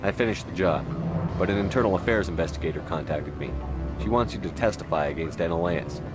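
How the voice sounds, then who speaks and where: A man's voice: deep voice, fast talker, loud music behind the voice, lightly acted. A man playing a blunt, hard-edged military space commander in a video game, terse and commanding. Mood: businesslike.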